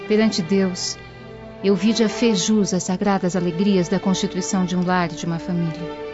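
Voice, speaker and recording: A woman plays a gentle, devout young Roman in a radio drama. Her voice is fairly low, formal and solemn, over sad violin music with dull sound. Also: little pitch movement, moderate pace, natural voice. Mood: wistful.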